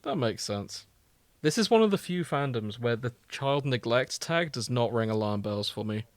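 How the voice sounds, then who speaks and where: A man's voice: mid-range voice, animated, moderate pace, no room sound. Man chatting, close dry sound. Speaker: podcast co-host. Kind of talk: casual chat about fandom.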